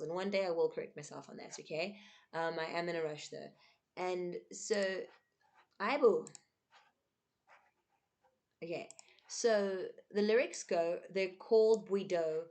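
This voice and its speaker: A young woman from South Africa talks straight to camera with earnest emphasis, her voice sitting a little low. English is not her first language, and she keeps checking in with her listeners between points. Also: darkish tone. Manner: earnest, emphatic, with frequent "um" and "right?". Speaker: vlogger. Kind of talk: unscripted commentary to camera.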